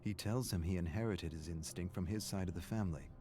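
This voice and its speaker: An actor playing a grim storyteller, reading a dark family tale for a video game in a solemn, deliberate, menacing way. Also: male voice, low voice, natural voice. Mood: somber. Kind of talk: dramatic scripted third-person narration.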